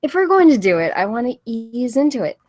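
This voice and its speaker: A woman's voice: on the high side, sing-song, a little fast, a little mellow, dry recording, studio quality. A woman reading the part of a playful, curious yet guarded wife in a film script, casual and teasing. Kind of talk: film script table read, naturalistic dialogue.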